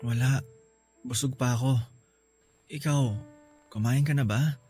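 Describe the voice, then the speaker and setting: A man voicing a loving young husband who gently consoles his wife in a radio drama. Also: medium pitch, fairly even intonation, measured pace, faint music behind, lightly acted. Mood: weak. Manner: gentle, soothing, reassuring. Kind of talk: Filipino radio drama acting.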